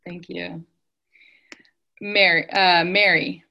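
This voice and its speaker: Slow, bright-voiced woman hosting a call. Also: lowish voice, flat delivery, vocal fry. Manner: calls out names and asks people to unmute. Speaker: meeting host. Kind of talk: calling roll on a video call. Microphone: video call.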